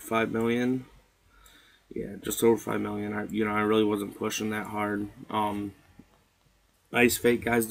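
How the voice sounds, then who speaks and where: A man with a hoarse voice that is partly gone, talking casually through game results as a video host in a laid-back way with lots of "uh" and "you know". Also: fairly low pitch, low-quality recording. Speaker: mobile game YouTuber.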